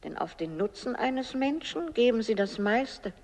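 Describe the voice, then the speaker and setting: An actress playing a kindly, humble old woman in a radio play, speaking in a gentle, patient, measured way. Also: on the high side, big pitch swings, heavily acted. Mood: patient, explaining. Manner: gentle, measured, plain-spoken. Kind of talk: German radio play acting. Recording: long reverberant hall.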